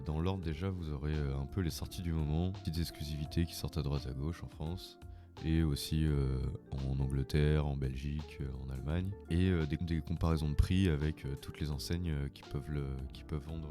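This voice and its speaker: A man's voice: extremely low pitch, fairly even intonation, moderate pace. A man with a cold, talking in an informal, rambling way in a casual video tutorial. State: has a cold. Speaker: vlogger. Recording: music playing behind the voice.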